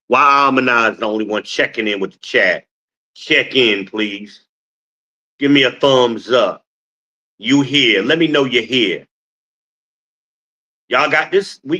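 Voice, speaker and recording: A deep-voiced man from New Jersey hosting a casual livestream, telling stories and calling on the chat like a preacher asking for an amen. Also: animated, measured pace, pauses often, pushed and bright. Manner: preacher-like call and response with the chat. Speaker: podcast host.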